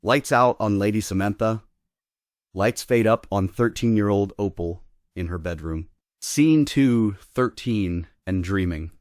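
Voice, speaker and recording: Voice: deep voice, unhurried, a little mellow, no echo, studio quality. A narrator reading scripted stage directions at a theatre table read.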